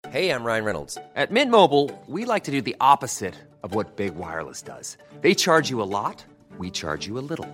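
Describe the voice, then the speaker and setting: A man doing a comedic ad read with wry, sarcastic timing and a wildly swinging pitch. Soft music plays beneath his voice. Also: big pitch swings, brisk. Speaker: ad spokesman. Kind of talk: scripted comedic ad.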